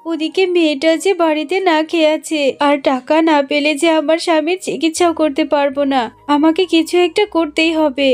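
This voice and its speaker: A high-pitched cartoon fox wife, played by a woman, devoted but careworn. She speaks plaintively and emphatically, like a homely village mother, over music. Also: fairly even intonation, a little fast, lightly acted. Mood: worried, pleading. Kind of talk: dramatised Bangla cartoon story.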